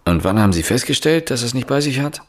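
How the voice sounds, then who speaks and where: An actor playing a weary, dismissive middle-aged police inspector in a radio drama, deadpan and brusque. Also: male voice, mid-range voice, quick pace, no room sound, clean studio sound, natural voice. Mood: questioning. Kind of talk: radio drama acting with inner monologue.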